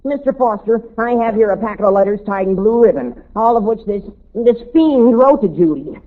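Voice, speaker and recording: Earnest, faltering teenage Oogie. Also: male voice, high voice, expressive, a little fast. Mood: indignant, earnest. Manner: solemn, faltering. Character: earnest, self-important lovesick teenage boy, Oogie. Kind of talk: 1940s radio comedy acting. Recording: tinny old radio broadcast.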